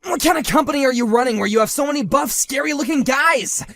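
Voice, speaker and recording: A man voicing a hotheaded bully husband who shouts, whines and stammers when cornered, in a one-man voiced drama. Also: fairly high pitch, animated, quick pace, no echo, lightly acted. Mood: outraged panic. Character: hotheaded male bully husband, panicky and blustering.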